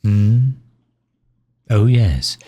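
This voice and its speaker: A man's voice: fairly low pitch, expressive, very slow, warm tone, no room sound, heavily acted. A man playing a pompous, suave megalomaniac villain in an ASMR audio roleplay, with leisurely, formal wording and impatience toward his servant. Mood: musing, amused.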